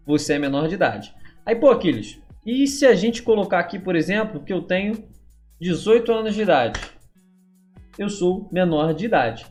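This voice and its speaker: A Brazilian man in his twenties teaching a casual coding tutorial, chatty and relaxed, checking in often with his viewers. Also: high voice. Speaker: programming instructor.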